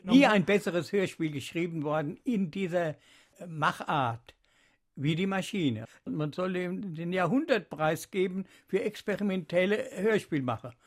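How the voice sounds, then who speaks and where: High-voiced man reminiscing, emphatic. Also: animated, measured pace. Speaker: interviewee recalling radio productions. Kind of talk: spontaneous reminiscing interview talk.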